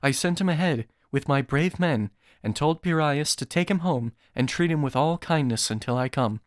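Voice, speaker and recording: A man voicing an earnest young prince, firm, calm and formal, in an epic audiobook. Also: a little high, normal speed, dry recording, studio quality, close to an everyday voice.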